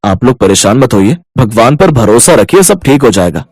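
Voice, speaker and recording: A man's voice: mid-range voice, very animated, moderate pace, a little mellow, slightly rough, no room sound. A man voicing a kind, humble village eatery owner in storybook dialogue. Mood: reassuring. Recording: dry studio voice over music.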